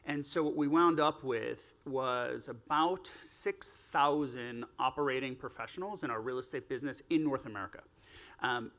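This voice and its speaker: Gravelly-voiced man chatting on a panel. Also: medium pitch. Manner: relaxed, filler-heavy, self-deprecating. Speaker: panel guest, executive. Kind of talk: casual panel talk. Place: conference stage.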